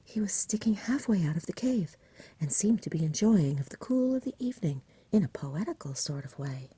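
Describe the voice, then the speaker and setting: A woman voicing a frightened, fretful shepherd father from a storybook, in an agitated, rambling country dialect and a breathy whisper. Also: fairly low pitch, animated, fairly quick, dark tone, no room sound. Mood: bewildered, fretful.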